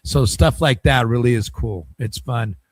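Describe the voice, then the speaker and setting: A man's voice: mid-range voice, unhurried, no room sound. A man hosting a casual podcast, chatty and joking, leaning on fillers like "I mean". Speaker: podcast host.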